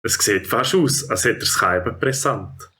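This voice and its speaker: A male narrator of a children's audio story. His voice is on the low side and husky, with very lively intonation and a Swiss German accent. He tells the tale expressively. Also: normal speed.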